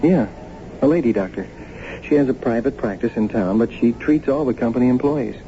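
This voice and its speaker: A man's voice: medium pitch, animated, a little fast, natural voice. A man acting in a radio drama as a practical, easygoing husband who talks in a casual, matter-of-fact way. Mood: concerned. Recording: muffled, noisy old radio broadcast.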